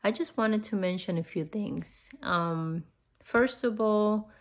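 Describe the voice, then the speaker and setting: A woman's voice: mid-range voice, takes it slowly. A middle-aged woman with a non-native English accent, talking casually and earnestly to viewers about her faith in a personal vlog. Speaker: host of a Bible-reading vlog.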